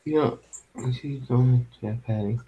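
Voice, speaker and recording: A man at mid pitch, musing out loud on a home microphone as he hosts a relaxed live chat. He wanders through his thoughts with frequent fillers and restarts. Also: breathy edge, dull audio. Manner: halting and rambling, with many fillers. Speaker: live stream host.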